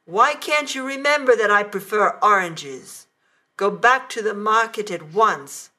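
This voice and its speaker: A bossy, scornful husband barks orders in a bright, slow voice, as a woman reads him in a storybook for learners. He is selfish and demanding, with no kindness in his tone. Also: medium pitch, animated. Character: grumpy, selfish middle-aged husband, demanding.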